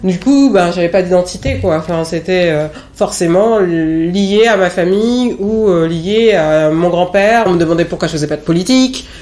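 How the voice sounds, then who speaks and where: A woman's voice: fairly low pitch, moderate pace, few pauses, darkish tone. A woman in her late thirties from French Guiana giving a reflective personal testimony, speaking candidly and with self-assured emphasis. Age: late 30s. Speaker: interviewee.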